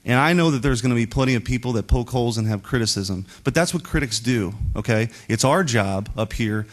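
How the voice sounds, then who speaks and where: A man's voice: mid-range voice, brisk. A man speaking as a senator in committee, making an impromptu, emphatic case with rhetorical questions and tags. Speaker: state senator. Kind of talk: impromptu political speech in committee.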